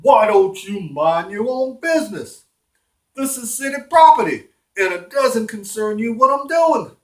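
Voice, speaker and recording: A storyteller voicing a doting, insistent man walking his dog, with drawn-out, coaxing urging. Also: mid-range voice, expressive, pitched above the performer's own voice, lightly acted. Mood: defensive. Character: doting, insistent male dog walker, average size. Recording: roomy, echoing room sound.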